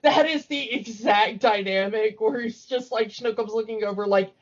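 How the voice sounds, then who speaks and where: A woman in a casual, discursive fan chat about cartoons, talking in an animated, opinionated way. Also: a little high, moderate pace, muffled recording. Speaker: podcast co-host.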